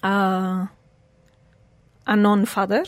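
A woman's voice: mid-range voice, level tone, extremely slow, no echo. A woman, telling her life story earnestly as an interview guest. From Portugal. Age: 40s. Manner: earnest, storytelling, slightly broken English phrasing.

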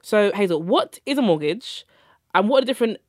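A woman's voice: mid-range voice, expressive, fairly quick, no echo, studio quality. A young woman hosting a podcast, introducing her guest and asking questions in a friendly, conversational way. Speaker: podcast host. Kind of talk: podcast interviewing.

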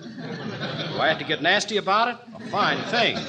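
Grumbly blunt visitor, swingy voice, noisy old radio comedy. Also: male voice, high voice, lively intonation, moderate pace. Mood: surly, indignant. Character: surly, blunt visitor, easily annoyed. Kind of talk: 1940s radio comedy acting.

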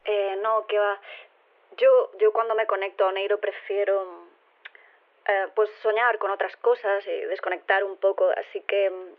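A female voice through a narrow telephone line. She plays a polite, body-swapped interviewee with a medium-pitched voice, chatting in a rambling, self-correcting way. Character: chatty, polite man living inside a woman's body. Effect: telephone filter. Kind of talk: naturalistic phone interview in audio drama. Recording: narrow, tinny phone line.

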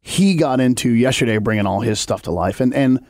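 Brief: male podcast guest, laid-back rambling chat, middling pitch, dry close sound. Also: a little fast, dry recording. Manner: relaxed, rambling, with many fillers. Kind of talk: casual chat.

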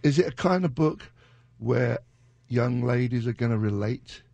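A man hosting a casual radio interview, joking and putting in quick remarks. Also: mid-range voice, lively intonation, vocal fry, warm tone, no room sound. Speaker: radio host.

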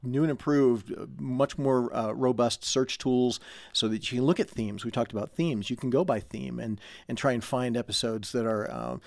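A man speaking as a guest in a conversational interview, explaining things in a measured way. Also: mid-range voice, steady tone, vocal fry, darkish tone, dry recording. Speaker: guest, audio drama club manager.

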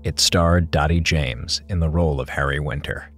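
A man's voice: extremely low pitch, normal speed. A man, a producer reading scripted podcast credits and addressing listeners. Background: music.

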